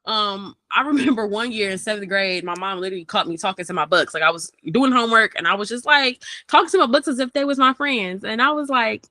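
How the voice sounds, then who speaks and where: A woman's voice: medium pitch, fast talker, few pauses, sharp tone, no room sound. A young woman, a rapper from Maryland, talking casually and animatedly as an interview guest, laughing often. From Maryland, USA. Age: young adult.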